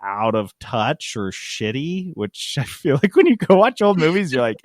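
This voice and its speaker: A man's voice: medium pitch, sing-song, normal speed, no room sound, clean studio sound. A man hosting a casual gaming podcast, talking informally and with slang. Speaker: podcast host.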